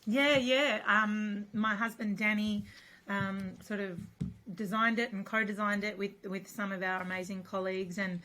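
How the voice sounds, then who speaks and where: Female interview host, husky and losing her voice, casual chatty delivery. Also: mid-range voice, flat delivery, moderate pace, low-quality recording. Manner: chatty, warm filler-heavy talk with many restarts. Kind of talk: casual conversation.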